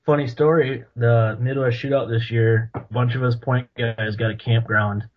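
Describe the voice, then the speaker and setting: A man's voice: medium pitch, steady tone, normal speed. A man in his twenties co-hosting a casual chat, laid-back and dryly joking. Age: 20s. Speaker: podcast co-host. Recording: dull lo-fi audio.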